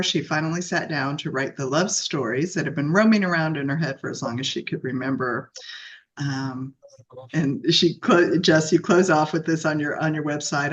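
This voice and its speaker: A woman's voice: deep voice, lo-fi sound. Bubbly woman reading a bio. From Dallas, Texas, USA. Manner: bubbly and laughing, reads a bio aloud. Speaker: podcast co-host. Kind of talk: casual podcast chat and reading a bio.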